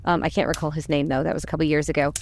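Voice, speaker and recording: An American woman in her forties speaks in a slightly low register. She tells stories casually and chattily, like a podcast guest among friends. There is noticeable noise and some clicking in the recording. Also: level tone, very fast, darkish tone. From United States. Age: 40s. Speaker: podcast voice actor. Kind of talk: casual conversational storytelling.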